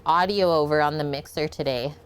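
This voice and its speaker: A woman's voice: deep voice, fairly quick, clean tone. A woman hosting a casual home cooking livestream, chatting as she cooks and answering viewer comments. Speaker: cooking show host. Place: home kitchen.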